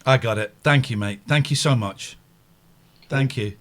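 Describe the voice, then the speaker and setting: A man co-hosting a live chat show, joking and teasing his guest in a casual, animated way. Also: medium pitch, measured pace. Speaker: co-host.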